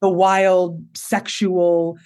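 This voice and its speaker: A woman in her thirties with a low voice, speaking openly and expressively as a guest in an interview, laughing often. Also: flat delivery, very slow, a little mellow, clean tone. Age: 30s. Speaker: podcast guest. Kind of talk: candid conversational interview.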